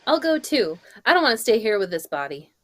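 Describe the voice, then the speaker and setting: Radio drama: woman as headstrong young lady, impatient, with lively swooping intonation. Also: medium pitch, brisk, lightly acted. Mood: breezy, impatient. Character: headstrong, breezy young woman at a murder scene. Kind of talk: old-time radio mystery acting.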